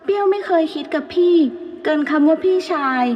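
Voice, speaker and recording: Woman acting a sweet, high-voiced young village woman. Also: fairly quick, slight background noise. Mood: firm, pleading. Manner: soft polite endearing particles. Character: sweet, polite young village woman, firm yet sorrowful. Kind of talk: dramatised Thai folk storytelling. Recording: muffled, long reverb.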